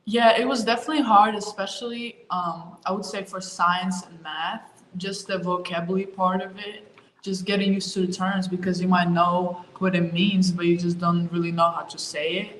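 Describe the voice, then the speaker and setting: A young woman from Ukraine answering interview questions about her move and her sport, talking in a relaxed way with lots of fillers. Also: lowish voice, normal speed, slight background noise. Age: young adult. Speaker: college basketball player, interview guest. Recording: roomy with faint ambience.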